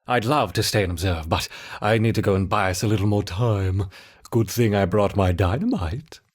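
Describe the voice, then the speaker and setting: A raspy, frantic mad scientist. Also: male voice, lowish voice, normal speed. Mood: gleeful, flustered. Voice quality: raspy, husky. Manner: theatrical, muttering, exclaiming to himself. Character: frantic, scheming male mad scientist obsessed with his ants. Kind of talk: video game character acting.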